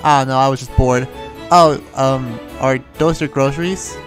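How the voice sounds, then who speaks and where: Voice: mid-range voice, fairly even intonation, moderate pace, music in the background, close to an everyday voice. A performer improvising a polite, awkward young villager in a Minecraft roleplay, talking in a hesitant, rambling way. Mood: flustered, friendly.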